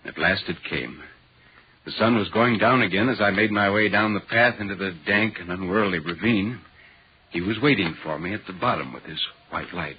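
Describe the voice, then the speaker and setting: An actor playing a troubled but rational gentleman in a measured, theatrical 1940s radio horror drama. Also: deep voice, breathy edge. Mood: brooding. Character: troubled, rational gentleman visitor narrating a ghost story. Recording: dull, muffled 1940s radio recording.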